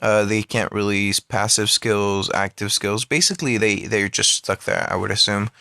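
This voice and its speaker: A man's voice: lowish voice, steady tone, moderate pace, creaky, pushed and bright. A man giving casual commentary on a mobile game, rambling with many fillers and restarts, recorded on a phone.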